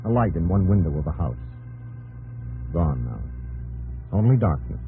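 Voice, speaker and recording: Low-voiced, solemn radio drama narrator, male. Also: sing-song, normal speed, phone-quality sound. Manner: dramatic, clipped, solemn phrasing. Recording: old radio broadcast with organ music.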